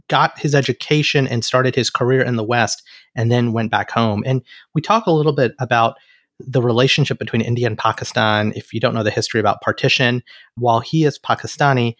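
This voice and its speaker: Earnest podcast host, dry close sound. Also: male voice, medium pitch, no echo. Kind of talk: podcast intro and interviewing.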